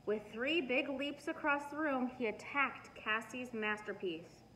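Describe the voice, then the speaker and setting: Higher-voiced woman reading a storybook. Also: unhurried. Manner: expressive, emphatic storytelling. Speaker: teacher reading aloud. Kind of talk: children's storybook read-aloud. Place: living room, indoors. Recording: noisy living room recording.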